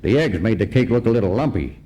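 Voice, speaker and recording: An actor playing a wry, laconic private detective in a comic radio noir parody. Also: male voice, fairly low pitch, quick pace, natural voice. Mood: deadpan. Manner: deadpan, laconic. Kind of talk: comic old-time radio noir acting. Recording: noisy live stage recording.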